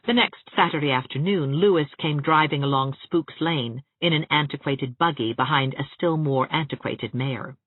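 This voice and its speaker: A woman reading literary fiction aloud as an audiobook narrator, with an expressive storytelling delivery. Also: deep voice, normal speed, hardly any pauses, no echo, narrow, tinny audio.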